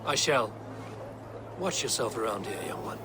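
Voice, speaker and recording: An actor playing a wary, stern Viking warrior in a video game, speaking in terse, low-key mutters. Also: male voice, animated, moderate pace, background noise, natural voice. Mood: guarded. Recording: loud music and game sound behind.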